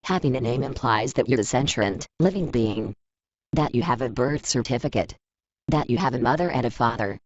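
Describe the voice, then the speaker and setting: An actor playing a cold, condescending villain who taunts a rival with deliberate menace in audio drama. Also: male voice, mid-range voice, expressive, no echo, natural voice. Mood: scornful. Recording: dull, muffled close dry recording.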